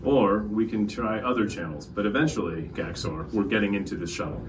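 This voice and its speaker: A man playing a firm, formal and deliberate starship captain in a tabletop game. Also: fairly low pitch, animated, echoey room, voice pushed down, lightly acted. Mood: coolly insistent. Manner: deliberate, formal, coolly insistent. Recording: distant mic with clear background noise.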